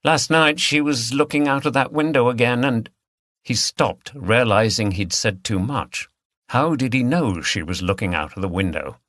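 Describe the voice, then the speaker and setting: A man's voice: medium pitch, normal speed, fairly bright tone, dry recording, clean studio sound. A man reading scripted third-person story narration as an audiobook narrator.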